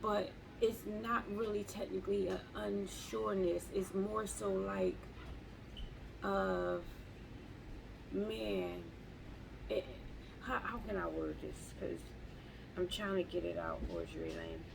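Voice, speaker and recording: A woman talking slowly and haltingly in a reflective live journal at home, often repeating words as she searches for them. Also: mid-range voice, animated, gravelly, noisy background. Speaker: vlogger.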